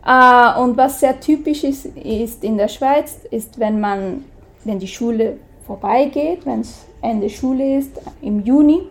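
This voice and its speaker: A woman's voice: on the high side, slow. A woman from Switzerland with a Swiss German accent, a guest in a casual interview, talking hesitantly with many fillers and laughing often.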